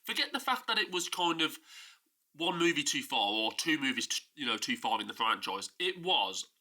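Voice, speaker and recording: A man's voice: on the high side, very animated, moderate pace, pushed and bright. A man with a stuffy nose from a cold, casually and emphatically ranting about a film in a vlog. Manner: exasperated, emphatic, mocking. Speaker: film review YouTuber.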